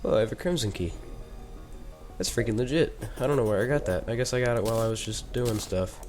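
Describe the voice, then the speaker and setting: A man's voice: lowish voice, quick pace, darkish tone, soft background music. A teenage boy with a head cold, chatting casually and rambling with joking asides while he plays and commentates a video game. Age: teens. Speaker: gaming YouTuber.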